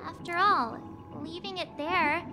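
A woman's voice: very high voice, steady tone, a little slow, noisy background. An actress playing an outspoken, cheerful young girl in a game. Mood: earnest. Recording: game audio with music behind.

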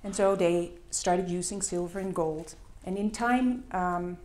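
A woman's voice: fairly low pitch, measured pace, a little mellow. A Dutch woman in her forties with a Dutch accent, giving a chatty conference talk in English full of fillers and restarts. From Netherlands. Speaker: presenter. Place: large lecture hall with windows. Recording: echoey hall.

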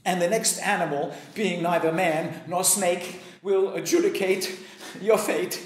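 Man doing a pleading man, loud, stammering, high and lively, echoey room. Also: unhurried, slight background noise. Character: desperate pleading man begging for his life.

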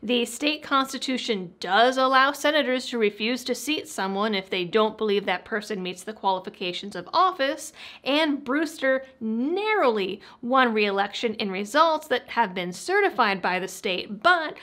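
A woman's voice: fairly high pitch, lively intonation, moderate pace, a bit bright. A young adult woman delivering a scripted news rundown as host, with wry, informal asides. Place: small indoor studio setup.

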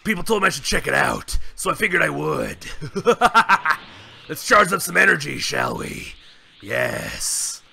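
A man voicing a hulking, grudge-holding male warrior in a gravelly, husky, roaring voice during fan gameplay voice acting. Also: on the high side, wildly swooping pitch, a little slow, sharp tone, exaggerated voice. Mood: raging.

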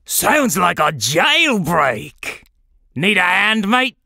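A narrator voicing a breezy animal rescuer with a rough British accent in an audio story. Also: medium pitch, very animated, drawn-out words, pushed and bright, no room sound, studio quality, pitched above the performer's own voice, exaggerated voice. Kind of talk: audio fiction character voice.

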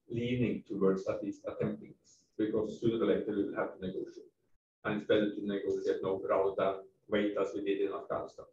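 A man's voice: on the low side, darkish tone. A man giving unscripted expert commentary on a panel in an emphatic, blunt manner, repeating words for emphasis. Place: echoey event room. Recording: echoey room, distant mic, faint noise, dull.

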